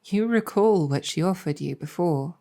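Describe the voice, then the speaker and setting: A woman playing a gentle, earnest, world-weary demon general in an ASMR roleplay, speaking softly and reassuringly with measured hesitation. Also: lowish voice, expressive. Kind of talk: improvised ASMR roleplay storytelling.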